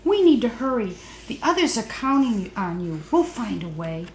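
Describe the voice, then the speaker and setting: A woman's voice: a little high, very animated, normal speed. Woman reading as an earnest little girl, echoey room. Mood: reassuring. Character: caring, eager, reassuring little girl. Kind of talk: children's storybook read-aloud. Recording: roomy echo, faint background noise.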